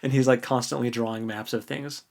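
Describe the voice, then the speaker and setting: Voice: mid-range voice, fairly even intonation, fairly quick, creaky. Co-host for a book podcast, mid-range speaking voice, informal chat, conversational interjections. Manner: conversational, chiming in. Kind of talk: casual book discussion.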